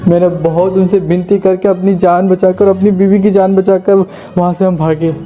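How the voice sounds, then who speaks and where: A man's voice: sits high, little pitch movement, normal speed, warm tone, faint music behind, close to an everyday voice. A man voicing an earnest, imploring husband, a wealthy traveler in distress, in a moral story. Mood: earnest, grateful. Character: wealthy grateful husband in distress, pleading for help. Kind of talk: Hindi moral story voice acting.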